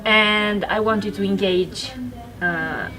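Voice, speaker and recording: A young woman from Romania with a Romanian accent, answering interview questions softly and hesitantly at a literary festival. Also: medium pitch, steady tone, slow, low-quality recording. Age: young adult. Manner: soft-spoken, hesitant, with fillers and restarts. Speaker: guest writer.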